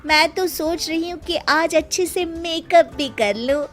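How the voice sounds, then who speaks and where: A woman voicing a playful, excitable young woman, a mischievous little sister in an animated story. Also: very high-pitched, unhurried, soft background music. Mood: playful, teasing. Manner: giggly, excitable, pleading when scared.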